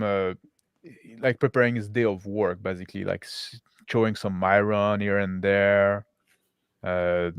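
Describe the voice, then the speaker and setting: A man narrating a tabletop roleplaying game as game master, improvising in halting phrases. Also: fairly low pitch, clear, resonant tone, dry recording, clean studio sound. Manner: halting, pauses while searching for words.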